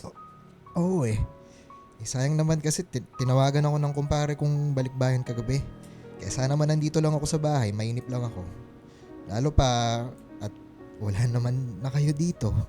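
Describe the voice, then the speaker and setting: A heartbroken Filipino husband and father in a radio play, acted by a man. He speaks haltingly and with feeling, at an ordinary pitch, devoted and self-sacrificing. Music plays under his voice. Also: natural voice. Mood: sad, resigned. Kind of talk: Tagalog radio drama acting.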